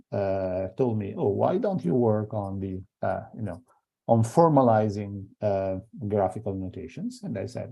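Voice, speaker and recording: A man's voice: fairly low pitch, creaky, dark tone. A middle-aged man with an Italian accent giving an academic seminar talk, hesitant, with many fillers and restarts. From Italy. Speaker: invited seminar speaker.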